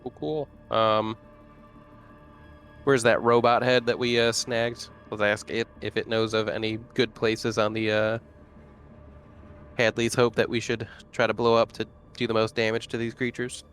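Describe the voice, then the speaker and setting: A man's voice: medium pitch, level tone, a little slow, fairly bright tone, faint music behind. A man playing a tabletop roleplaying game, chatting casually and thinking aloud as he goes. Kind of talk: casual chat.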